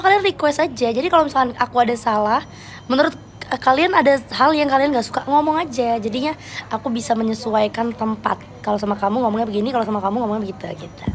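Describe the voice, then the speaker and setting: A woman's voice: a little high, a little hiss or hum. Chatty young woman, Jakarta slang. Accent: Jakarta Betawi slang. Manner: chatty, playful, laughs often, switches registers. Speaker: live streamer. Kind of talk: casual live-stream chat with viewers.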